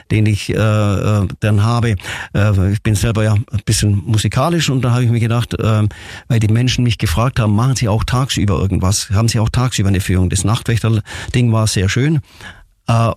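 A man from southern Germany speaking as an interview guest, a city tour guide telling stories in a halting, earnest way. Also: medium pitch, darkish tone, no room sound, clean studio sound. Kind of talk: casual interview storytelling.

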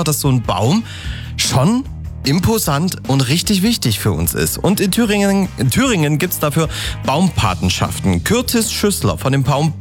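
Lively chatty male radio host. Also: mid-range voice. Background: background music.

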